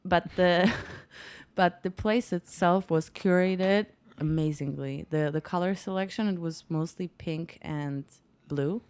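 Low, smooth-voiced Polish woman chatting. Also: slow pace, hardly any pauses. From Poland. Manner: chatty, lively storytelling with many restarts. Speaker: guest. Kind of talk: casual chat. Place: home kitchen, music heard outside.

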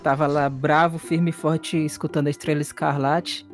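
A man's voice: on the high side, flat delivery, moderate pace, soft background music. A man with a Brazilian accent chatting casually as a player and co-host on a role-playing podcast.

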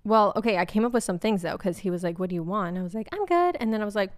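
A woman's voice: medium pitch, expressive, rapid-fire. Chatty young woman podcaster. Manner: chatty, laughs often, lots of "like". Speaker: podcast co-host. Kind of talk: casual chat. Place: indoors at home.

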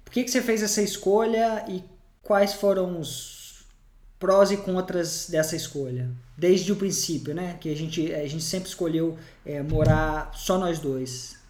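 A man's voice: a little high, measured pace, darkish tone, reverberant. A man chatting casually, often hesitating and restarting his sentences. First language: Portuguese. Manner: hesitant, many restarts and fillers. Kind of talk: casual conversation.

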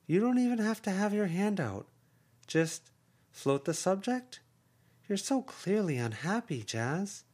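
A man's voice: sits high, sing-song, unhurried, a little mellow, voice pushed up, full character voice. A man voicing a cheerful, effusive aunt who talks in an emphatic way. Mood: earnest. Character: cheerful gushing adult aunt, woman.

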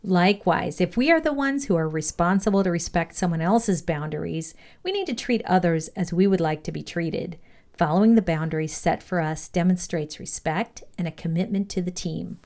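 Woman reading out a leadership lesson from a script, voice kinda on the low side. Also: moderate pace, darkish tone. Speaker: reader of a leadership values lesson. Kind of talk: scripted instructional narration, read aloud.

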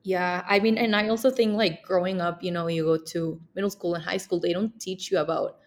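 Casual woman interviewer, gravelly low voice. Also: fairly even intonation, a little fast. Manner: casual, uses filler like and you know.

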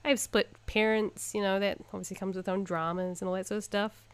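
Young New Zealand woman, mid-pitched, chatty and self-mocking podcast co-host, dry sound. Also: steady tone, a little fast, smooth, no echo. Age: young adult. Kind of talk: casual chat.

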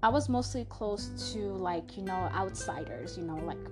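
A woman's voice: medium pitch, lively intonation, moderate pace, over music. A young woman from Montreal with a French accent, chatting through a personal story on her podcast with frequent fillers and restarts. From Canada (Montreal). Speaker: podcast host.

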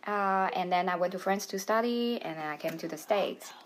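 A woman's voice: mid-range voice, normal speed, a little hiss or hum. A woman from Taiwan, a musician answering interview questions conversationally. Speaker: interview guest, musician and piano teacher.

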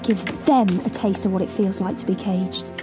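A woman's voice: mid-range voice, steady tone, moderate pace, music in the background, natural voice. A woman playing a defiant, militant budgie commander in an audio drama, assertive and insistent. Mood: defiant, hard-line. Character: militant, defiant budgie commander rallying birds against humans.

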